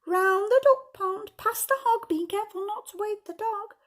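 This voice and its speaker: A woman's voice: extremely high pitch, normal speed, pitched above the performer's own voice. A woman voicing a small, clever female ladybird urgently warning the animals in a sing-song children's storybook rhyme. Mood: urgent, secretive. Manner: sing-song rhyming delivery. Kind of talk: children's storybook read aloud.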